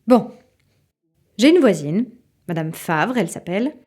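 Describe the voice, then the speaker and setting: A woman's voice: mid-range voice, sing-song, measured pace, darkish tone, husky. A woman playing an obsessive, irritable mother who tells her story dramatically and confidingly in an audio fiction. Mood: irritated, confiding. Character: obsessive, irritable mother waiting for a mysterious sign.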